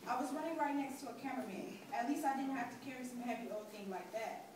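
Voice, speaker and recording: A woman's voice: sits high, fast talker, natural voice. Young woman playing scared civil rights marcher telling her story, dramatic reading, super echoey and distant. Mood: tense recollection. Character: frightened young civil rights marcher recalling a hostile crowd. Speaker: student performer in a dramatic reading. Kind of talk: dramatic reading of poetic first-person testimony. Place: large echoey indoor room, distant mic. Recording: very echoey hall from far off, noisy.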